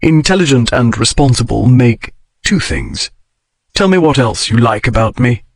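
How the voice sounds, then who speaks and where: A man voicing a wry, devoted aristocratic gentleman in an audiobook, with understated, formal speech. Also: medium pitch, lively intonation, normal speed, no echo. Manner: dry, understated period speech. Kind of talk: audiobook character reading.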